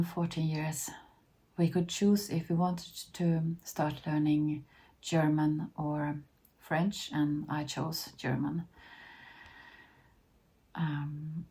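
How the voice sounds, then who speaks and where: A woman in her fifties from Sweden, whose first language is Finnish, talking casually to camera in a hesitant way with many soft restarts. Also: low voice, breathy edge. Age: 50s. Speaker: vlogger.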